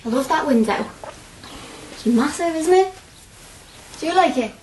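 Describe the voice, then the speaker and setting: An actress playing a blunt, chatty, curious young student in a radio drama, with a Liverpool accent and colloquial phrasing. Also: female voice, high-pitched, animated, measured pace, noisy background. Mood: nervous, chatty.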